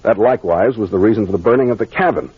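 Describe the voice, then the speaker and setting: An actor playing a confident police captain in an old-time radio mystery, explaining things in a brisk, assured manner. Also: male voice, fairly low pitch, breathy edge, dry recording. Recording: dull vintage radio recording.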